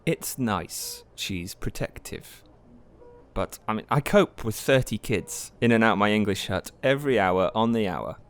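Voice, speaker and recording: An actor playing a wry, self-deprecating married English teacher, confiding in a conversational way in an audio drama. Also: male voice, lowish voice, animated, a little slow. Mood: reflective, earnest. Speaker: actor narrating an audio play. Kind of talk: audio drama monologue and dialogue.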